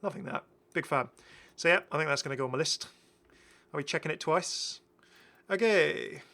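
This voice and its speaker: A man's voice: a little high, normal speed, creaky, bright, forceful tone. A British man giving casual, enthusiastic, rambling commentary as the host of a gaming video. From Britain. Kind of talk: casual commentary over game footage.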